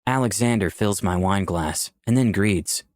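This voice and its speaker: Man as low-voiced young visual novel protagonist. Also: unhurried, a little mellow, no echo, clean studio sound, natural voice. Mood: reflective. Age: young adult. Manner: clear scripted storytelling. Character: candid, easygoing 24-year-old man, protagonist and narrator. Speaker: narrator and protagonist. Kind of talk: first-person visual novel narration and dialogue.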